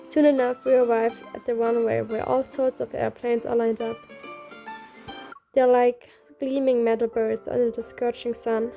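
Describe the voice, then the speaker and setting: A woman's voice: on the high side, little pitch movement, moderate pace, gravelly, mellow. A woman reading a game story aloud, both the narration and the dialogue. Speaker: reader. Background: faint music.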